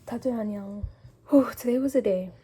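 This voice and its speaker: A woman's voice: fairly high pitch, racing, dark tone, husky. Sick young woman with a weak voice. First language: English. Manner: chatty, laughing, lots of "like" fillers. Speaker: vlogger and language student. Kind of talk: casual vlog chat mixing English and learner Korean.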